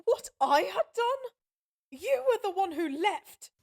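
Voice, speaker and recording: A woman playing a lonely, grieving goddess in an audio drama, speaking haltingly and with raw, pleading emotion. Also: extremely high pitch, sing-song, gravelly, darkish tone, exaggerated voice. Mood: hurt, accusing. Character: sorrowful, lonely forsaken goddess, grieving and guilt-ridden. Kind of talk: scripted audio roleplay drama acting.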